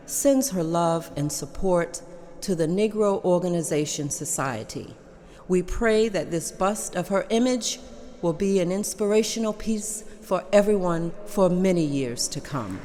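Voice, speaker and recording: A woman's voice: lowish voice, animated, measured pace, dark tone. A woman reading the part of a society member who gives a formal presentation speech at a meeting. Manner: formal, ceremonial address. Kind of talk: amateur table read acting.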